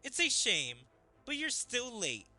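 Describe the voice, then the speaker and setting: Guy doing a strict demon lady teacher, scolding, high forceful voice, game music. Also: huge pitch swings, unhurried, exaggerated voice. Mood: stern scolding. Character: stern female demon teacher of flames.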